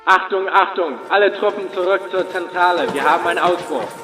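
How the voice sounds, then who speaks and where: A man playing a stern German army announcer sounding an alarm. His raised, high-set voice rings with long reverb over music in a wartime radio play. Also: steady tone, brisk, natural voice. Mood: urgent, commanding. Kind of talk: radio drama acting.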